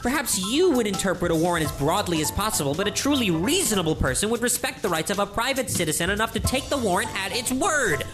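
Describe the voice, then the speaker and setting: A woman's voice: mid-range voice, lively intonation, fast talker, hardly any pauses, music in the background, lightly acted. A woman playing an earnest, combative young defense lawyer with an American accent in an audio comedy drama. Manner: emphatic, argumentative.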